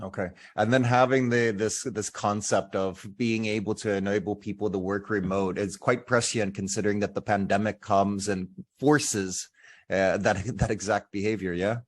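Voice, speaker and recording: A man's voice: fairly low pitch, fairly even intonation, moderate pace. A man hosting a podcast, upbeat and enthusiastic as he introduces the show and questions his guest. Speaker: podcast host. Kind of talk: scripted intro, then interview chat.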